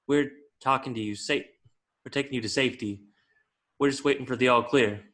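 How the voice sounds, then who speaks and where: A man playing a composed, protective wizard guard in an amateur script read, measured and instructing. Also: medium pitch, fairly bright tone, close to an everyday voice. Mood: calm, instructing.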